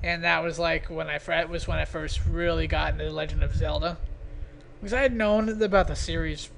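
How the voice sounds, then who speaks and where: A man's voice: a little high, fairly even intonation, brisk, creaky, fairly bright tone. A man giving casual, off-the-cuff video commentary in a hesitant, rambling, self-deprecating way. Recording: noisy amateur home recording.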